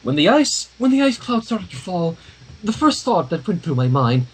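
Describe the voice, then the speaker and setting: A man reading a story aloud on a livestream with expressive, dramatic narration and casual asides, in a husky voice. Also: high voice, normal speed, low-quality recording. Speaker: fan fiction reader.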